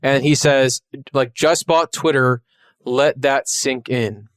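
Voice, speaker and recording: A man's voice: mid-range voice, steady tone, slow pace, clear, resonant tone, no echo, clean studio sound. A man co-hosting a podcast, chatting casually in a profane, ranting and jokey way. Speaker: podcast co-host. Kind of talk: casual chat.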